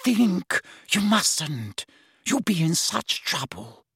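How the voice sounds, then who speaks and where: A man's voice: high-pitched, very animated, takes it slowly, gravelly, pushed and bright, husky, no echo, voice pushed up, full character voice. A man reading an audiobook, voicing an anxious, sensible teenage girl who pleads urgently. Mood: pleading.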